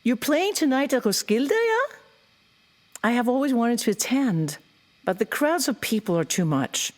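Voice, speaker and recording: A woman's voice: fairly high pitch, big pitch swings, a little slow. Hesitant, polite woman, very lilting. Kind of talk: audio drama dialogue.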